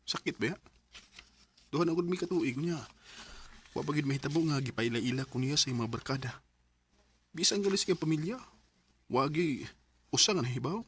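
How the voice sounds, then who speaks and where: An actor playing a devoted, hopeful young boyfriend in a Filipino radio drama, with a Bisaya accent. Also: male voice, on the high side, lively intonation, moderate pace, long pauses, a bit bright, a little hiss or hum. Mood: hurt, defensive.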